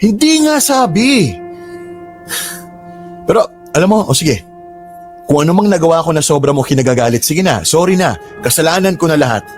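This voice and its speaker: Exasperated young Filipino boyfriend, animated voice. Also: male voice, on the high side, moderate pace, pauses often. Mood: pleading, conciliatory. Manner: emotive, protesting. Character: exasperated, pleading young boyfriend, defensive but loving. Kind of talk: Tagalog radio drama acting. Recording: music bed under dialogue.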